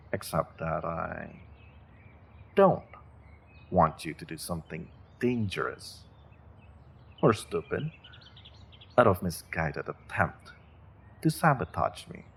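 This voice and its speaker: Man acting slow, gravelly, menacing sorcerer. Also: expressive, heavily acted. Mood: smug politeness. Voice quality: deep, gravelly, husky. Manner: slow, condescending, quietly threatening. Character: menacing evil male sorcerer, smug, mock-kind captor. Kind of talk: fantasy audio roleplay monologue.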